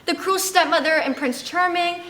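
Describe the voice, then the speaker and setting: Young girl as bossy confident fairytale counselor in a school play, really high voice, echoey and far from mic. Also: steady tone, moderate pace, bright, forceful tone. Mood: grandly reassuring. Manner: theatrical, declamatory. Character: brisk, confident fairy-tale marriage counselor who rewrites endings. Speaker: student stage actor. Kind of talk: school stage comedy acting. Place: school stage performance, recorded from afar. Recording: distant mic, echoey stage, background hiss.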